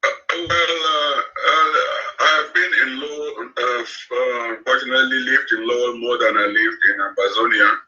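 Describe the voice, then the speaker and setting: A Cameroonian man in his sixties speaking as an interview guest, slow and halting with many fillers. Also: medium pitch, steady tone, sharp tone, faint music behind. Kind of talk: remote interview. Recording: distant, dull remote call audio.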